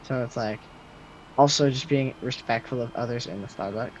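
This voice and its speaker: A young man in his late teens with a loud, projecting voice, rambling casually to his viewers while he games and answers their messages. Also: medium pitch, normal speed, slight background noise. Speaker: streamer. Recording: slightly muffled, faint game music behind.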